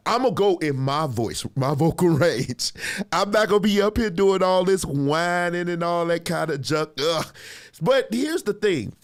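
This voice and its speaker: A man's voice: sits high, measured pace. A man who is a gospel musician and producer, hosting casual reaction commentary, chatty and repeating himself for emphasis. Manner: animated, chatty, laughs often; talks straight to viewers, repeats himself for emphasis. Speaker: host reacting to clips.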